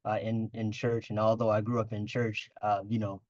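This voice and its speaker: A middle-aged man from the United States, a podcast guest who speaks in an earnest, measured way with fillers and repeated words. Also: fairly low pitch, completely flat delivery, a little mellow, dry recording, dull audio. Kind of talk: casual interview.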